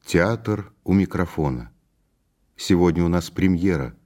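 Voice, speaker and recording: Deep-voiced male radio announcer. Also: expressive, measured pace, darkish tone. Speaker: radio announcer introducing a play. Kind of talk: formal scripted radio announcement.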